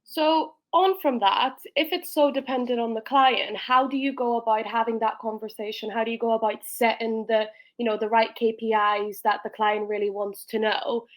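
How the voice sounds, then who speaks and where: A woman's voice: fairly high pitch, fairly even intonation, moderate pace, hardly any pauses. A woman hosting a company webinar, introducing speakers and asking questions in a friendly way. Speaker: webinar host, marketing manager.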